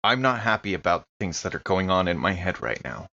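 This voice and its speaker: A man's voice: sits low, sing-song, a little fast, pushed and bright, lo-fi sound. A man playing a tabletop role-playing game, speaking earnestly and deliberating as he talks in character. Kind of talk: in-character roleplay and game talk.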